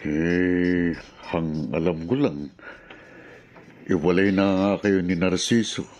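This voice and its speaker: A man's voice: sits low, slow pace, a little mellow, a little hiss or hum. An actor playing a sympathetic, helpful male confidant in a radio drama, speaking in a hesitant, conversational way. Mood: concerned.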